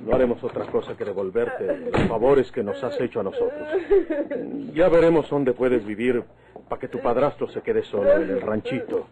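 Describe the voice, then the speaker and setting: An actor playing a protective, earnest outlaw hero, in old radio drama style. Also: male voice, mid-range voice, lightly acted, rough recording.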